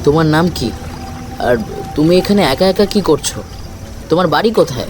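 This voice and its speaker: A bewildered male boat passenger acted by a man in an animated ghost story. His voice is high and uneasy, stumbling through urgent questions. Music plays behind him. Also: moderate pace, close to an everyday voice. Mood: puzzled, concerned.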